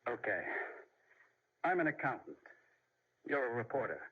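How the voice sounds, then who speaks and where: Earnest, halting accountant in vintage radio play. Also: male voice, medium pitch, big pitch swings, breathy edge. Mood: troubled, confessional. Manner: deliberate, halting, repeats himself. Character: earnest, troubled accountant husband, halting but determined. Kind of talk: old radio drama acting. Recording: dull lo-fi vintage radio recording.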